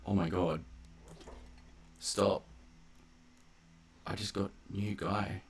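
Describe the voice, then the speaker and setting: A man giving casual gameplay commentary, muttering asides and exclaiming as he reacts out loud. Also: sits low, fairly even intonation, extremely slow, vocal fry, breathy edge. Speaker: gamer narrating a playthrough.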